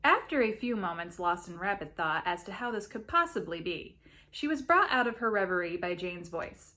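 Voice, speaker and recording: A woman reading a story aloud as an expressive narrator. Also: on the low side, normal speed. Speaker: reader of a story.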